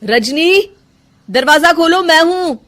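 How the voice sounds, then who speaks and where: A woman's voice: very high voice, animated, slow, fairly bright tone, voice pushed up, heavily acted. A woman voicing an earnest, puzzled young husband in a cartoon moral story. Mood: concerned.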